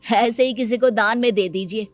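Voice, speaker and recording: A woman's voice: fairly high pitch, moderate pace, faint music behind, close to an everyday voice. A woman voicing a headstrong grown son in his twenties, arguing firmly in a cartoon story. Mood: defiant. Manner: firm, argumentative. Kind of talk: cartoon moral-story acting.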